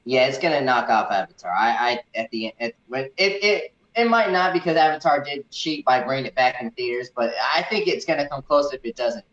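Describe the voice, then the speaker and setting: Halting man in an echoey room. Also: mid-range voice, lively intonation, a bit bright. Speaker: co-host of a movie review show. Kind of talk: casual film discussion with live comments. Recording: dull, echoey room sound.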